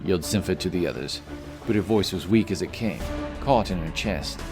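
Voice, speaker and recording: A man's voice: low-pitched, expressive, fairly quick, over music. A man reading scripted, dramatic narration for a fantasy adventure story.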